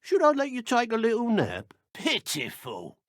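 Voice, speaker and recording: A woman playing a smug, condescending dark witch in a video game duel, with a British accent and mock-sweet taunts. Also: on the high side, wildly swooping pitch, normal speed, dry recording, studio quality. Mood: smug taunting. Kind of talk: video game combat voice lines.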